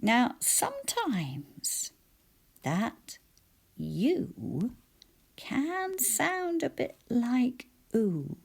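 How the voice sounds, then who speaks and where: A woman with a soft, often whispered voice teaching spelling and sounding out letters one by one. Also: fairly high pitch, gravelly, slightly rough. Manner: slow, soft-spoken, sounds out each letter.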